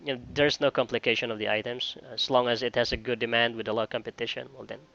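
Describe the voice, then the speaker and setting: A man talking casually in a job interview call as a virtual assistant, halting and repeating phrases with frequent filler phrases. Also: medium pitch, flat delivery, vocal fry, bright, forceful tone, muffled recording. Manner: halting, repeats phrases, often says "you know". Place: online call.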